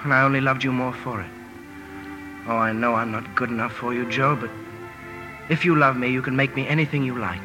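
A man's voice: medium pitch, steady tone, brisk, pauses often, over music, lightly acted, poor audio. An actor playing an ardent, impetuous young man in love, emphatic and pleading, in a 1950s radio drama.

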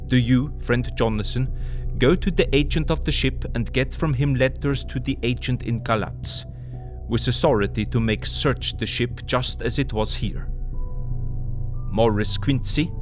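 A man's voice: medium pitch, lightly acted. A man playing a grave old professor. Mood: commanding. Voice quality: formal, halting broken English. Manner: urgent, earnest, drops to hushed tones. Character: older male professor, grave and commanding. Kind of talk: audio drama acting. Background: music.

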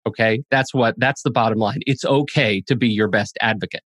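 A man hosting a podcast in a casual, chatty way, expressive and playfully dramatic in his emphasis. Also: lowish voice, fairly quick, dry recording. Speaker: podcast host.

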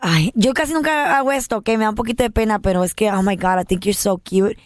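A flirtatious woman in the middle of a prank call, teasing and playful with her caller. She slips into English now and then. Her voice sits at a middle pitch and sounds close and dry. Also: fast, no echo. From United States, family from Honduras.

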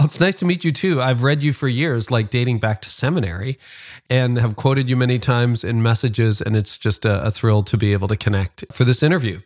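A man's voice: medium pitch, darkish tone. A man speaking as a podcast host, in interviews and sponsor reads.

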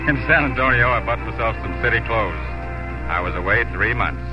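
An actor playing an earnest, proud young immigrant cattle drover in 1950s radio drama acting and narration. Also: male voice, on the low side, fairly quick, natural voice. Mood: reflective, narrating. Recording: muffled old radio broadcast, music.

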